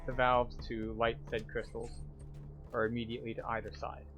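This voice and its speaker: A man's voice: mid-range voice, completely flat delivery, moderate pace. A man giving casual, explanatory commentary as a tour guide through a video game.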